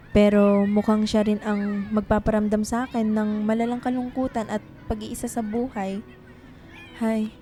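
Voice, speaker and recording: A woman's voice: mid-range voice, dark tone, natural voice. An actress playing a melancholy young woman writer in a radio drama.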